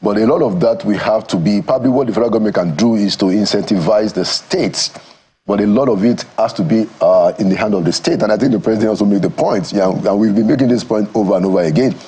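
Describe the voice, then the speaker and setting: A Nigerian man answering as a guest in a TV studio interview, speaking in a measured, thoughtful way and pausing to find his words. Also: on the low side, warm tone, breathy edge. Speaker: studio guest, former government aide. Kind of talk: morning TV interview.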